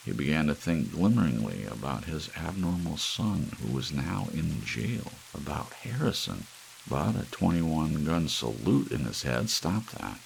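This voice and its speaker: A man narrating a scripted story as an ASMR audiobook, with soft rain behind him. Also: extremely low pitch, sing-song, a little slow, creaky, rough recording. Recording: faint rain hiss throughout.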